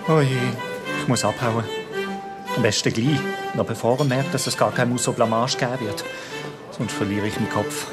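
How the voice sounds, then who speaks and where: An actor with a Swiss accent playing a flattering, exasperated banquet master to a duke in a children's audio drama. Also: mid-range voice, normal speed, long pauses, loud music behind the voice, natural voice. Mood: panicked muttering. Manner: fawning aloud, grumbling aside. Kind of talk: German-language children's audio drama acting.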